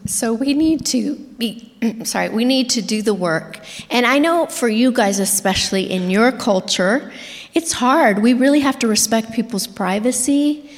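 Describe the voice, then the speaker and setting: A woman gives an earnest, chatty sermon in a church sanctuary. Her voice sits a little high, and she keeps turning to the congregation with questions. A long hall reverb trails every phrase. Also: measured pace. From Argentina. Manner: earnest, conversational, asks the audience questions. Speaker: guest speaker. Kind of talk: sermon storytelling.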